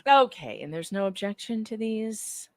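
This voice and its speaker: A woman's voice: medium pitch, expressive, moderate pace, dry recording. A woman doing a dramatic reading of courtroom dialogue in a conversational, theatrical way. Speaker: panelist reading court transcript parts.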